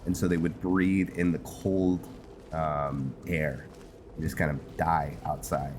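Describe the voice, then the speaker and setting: A man's voice: extremely low pitch, flat delivery, takes it slowly, noisy background. A man giving casual, meandering gameplay commentary, hesitating as he talks to himself and his viewers. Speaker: gamer, host of a roleplay series. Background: video game music.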